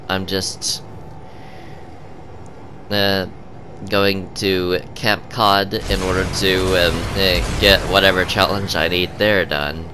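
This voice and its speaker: A man giving casual gameplay commentary, halting with many ums and uhs, sniffly from a cold. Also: deep voice, sharp tone, slight background noise. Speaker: gaming channel host.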